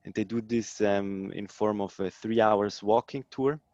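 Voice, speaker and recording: A man in his thirties from Switzerland, answering interview questions in a hesitant way with many pauses and ums. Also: lowish voice, fairly even intonation, a little mellow, dry recording, muffled recording. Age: 30s. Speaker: social entrepreneur guest.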